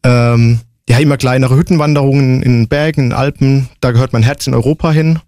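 A man's voice: medium pitch, steady tone, brisk, mellow, dry recording, studio quality. A man in his forties answering interview questions casually, in a concise and matter-of-fact way. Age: 40s. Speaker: interview guest, long-distance hiker.

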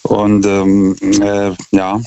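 Guy around 40 phoning a radio show, foreign accent, very monotone n dry sound, stammers and restarts words. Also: on the low side, clean tone, no room sound. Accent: non-native German. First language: not German. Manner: halting, stammering over word starts, often tagging with "ne". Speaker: radio phone-in caller. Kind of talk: unscripted, reflective call-in talk. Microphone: telephone. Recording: dull, band-limited phone call.

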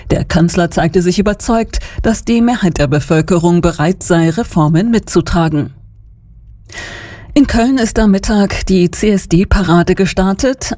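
A woman's voice: on the low side, dark tone, dry recording. A woman with a standard German accent reading scripted radio news as an anchor into a close studio microphone.

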